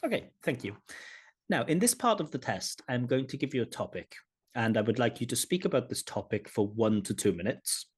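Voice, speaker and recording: Male examiner, husky and animated, formal questioning on a video call. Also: mid-range voice, brisk. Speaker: IELTS examiner and channel host.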